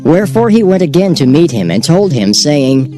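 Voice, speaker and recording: A man narrates scripture in a measured, solemn way. His voice sits a touch high and moves a lot in pitch. Clear background music plays throughout. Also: sing-song, warm tone. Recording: music bed behind voice.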